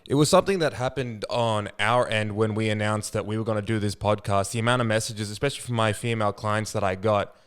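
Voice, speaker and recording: A podcast host with a fairly low, smooth and resonant voice. He asks questions in an encouraging, affirming way. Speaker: podcast host, fitness coach. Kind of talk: casual interview chat.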